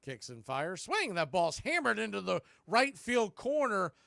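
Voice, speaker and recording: A man's voice: high-pitched, sing-song, measured pace, vocal fry, sharp tone, no room sound, clean studio sound. A man doing radio baseball play-by-play as the announcer, speaking in short, clipped phrases.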